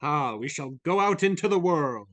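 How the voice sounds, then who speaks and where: A man's voice: a little high, animated, normal speed, a bit bright, no room sound. A man playing a jolly, kindly Christmas spirit in a live dramatic reading, hearty and festive. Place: remote video call at home. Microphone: video call microphone.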